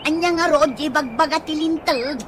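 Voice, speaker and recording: Woman acting a high-voiced bossy, scolding wife. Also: normal speed, close to an everyday voice. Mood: scornful. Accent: Ilocano. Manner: nagging, sharp-tongued. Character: bossy, scolding wife stirring up an inheritance feud. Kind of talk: Ilocano radio comedy drama acting. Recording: noisy ambience with chirping birds.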